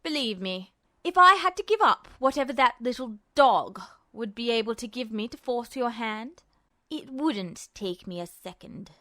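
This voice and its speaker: A smug, sadistic man, as a woman narrator puts him on in an audiobook. The voice drops low and soft for drawn-out, taunting threats. It is close and dry, cold and menacing. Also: lively intonation, no room sound. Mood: smug, mocking.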